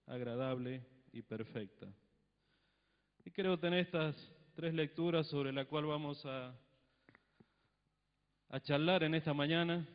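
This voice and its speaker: A middle-aged man from Argentina, a pastor, reading scripture and preaching into a handheld microphone in a church hall, with solemn emphasis on the readings. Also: on the high side. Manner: reads scripture with solemn emphasis, then talks plainly with the congregation. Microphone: handheld mic. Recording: long church reverb, dull lo-fi broadcast sound.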